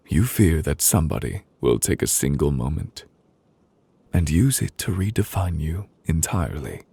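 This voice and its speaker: A man playing a solemn, devoted fantasy judge in an intimate ASMR roleplay, speaking gently and deliberately in a husky voice, comforting his beloved. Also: rumbling low, no echo, heavily acted. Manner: slow, deliberate, gently reassuring with pauses. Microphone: close, dry studio microphone.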